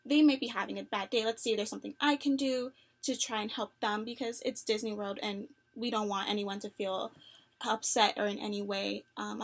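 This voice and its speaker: A young adult woman answering phone interview questions in an earnest, upbeat way, with many fillers and soft laughs. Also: mid-range voice. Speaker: job applicant.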